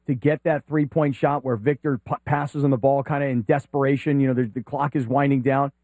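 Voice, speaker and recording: A man's voice: mid-range voice, steady tone, a little fast, a little mellow, dry recording. A man in his fifties co-hosting a casual sports talk show, talkative and repeating words as he builds his point. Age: 50s.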